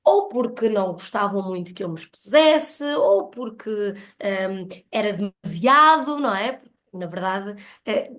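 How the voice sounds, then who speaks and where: A woman's voice: mid-range voice, expressive, unhurried, hardly any pauses, a bit bright. A woman with a European Portuguese accent speaking as a podcast guest, explaining personal experiences in an earnest, emphatic, reflective way.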